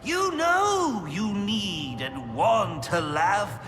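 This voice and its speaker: Lovesick villain crooning super slow and theatrical, big reverb, music behind. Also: female voice, mid-range voice, very animated, fairly bright tone, exaggerated voice. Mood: mournful, theatrical. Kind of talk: slow dramatic singing. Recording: long reverb, music backing.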